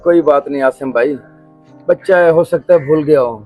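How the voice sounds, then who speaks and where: A man's voice: fairly high pitch, dull audio, soft background music, lightly acted. A man playing a humble, forgiving neighbour in a moral-story drama, speaking in a courteous, conciliatory way. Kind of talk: Urdu moral-story audio drama acting.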